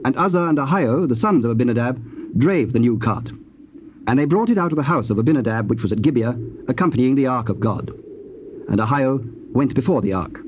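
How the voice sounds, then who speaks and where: A man narrating dramatized scripture in a measured, formal storytelling manner. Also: medium pitch, expressive. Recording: dull tape with faint noise.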